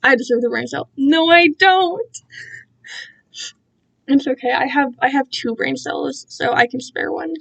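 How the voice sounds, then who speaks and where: A woman's voice: high-pitched, measured pace, vocal fry, long pauses, a bit bright, poor audio. A young woman chatting casually on a livestream in a rambling, self-deprecating way full of giggly internet slang. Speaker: amateur writer reading own fan fiction.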